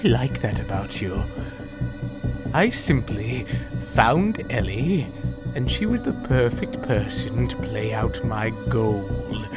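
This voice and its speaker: A man plays a menacing alien villain in an audio drama. He speaks slowly and deliberately, with condescending, silky politeness and calm control, while loud music plays behind him. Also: mid-range voice.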